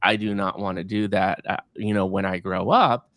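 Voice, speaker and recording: Effusive male podcast host in his forties, low voice, dry close mic, quick to repeat himself. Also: dry recording. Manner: energetic, effusive, repeats words when excited. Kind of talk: enthusiastic podcast interviewing.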